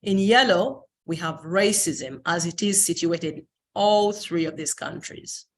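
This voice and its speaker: A woman from Uganda whose first language is Luganda, giving an academic presentation over a video call, polite and pausing often with fillers. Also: fairly low pitch. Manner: polite, with frequent fillers and pauses. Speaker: conference presenter.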